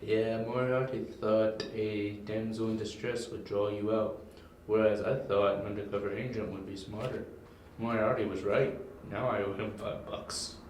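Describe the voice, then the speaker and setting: Man playing a low-voiced, menacing crime boss, very echoey. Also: level tone, normal speed, a little mellow, close to an everyday voice. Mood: mocking. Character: menacing male crime boss, smug and ruthless. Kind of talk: amateur audio drama acting. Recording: very echoey, distant, faint noise.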